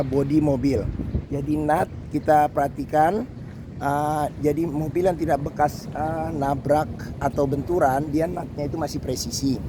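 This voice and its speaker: A hoarse man with a slightly high voice walks around a car, explaining each point slowly and stopping often to search for words. He is recorded outdoors on a camera, with clear street and vehicle noise behind him. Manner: slow, halting delivery with many fillers. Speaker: used-car dealer host. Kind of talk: walkaround car review with buying tips.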